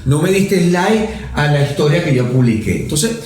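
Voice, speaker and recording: A man's voice: on the high side, very animated, moderate pace, a little mellow. Professorial Venezuelan man in an echoey room. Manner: professorial, analytical, explains with examples. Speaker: lawmaker guest. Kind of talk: interview conversation.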